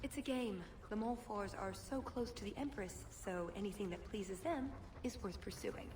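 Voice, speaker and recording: A woman's voice: mid-range voice, normal speed, reverberant, in a large hall, background noise, lightly acted. A woman playing a knowing, playful huntress and guide in a video game.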